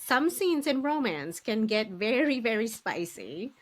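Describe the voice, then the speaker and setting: A woman's voice: fairly high pitch, big pitch swings, a little slow. A woman hosting a casual podcast interview, putting her questions tentatively and piece by piece.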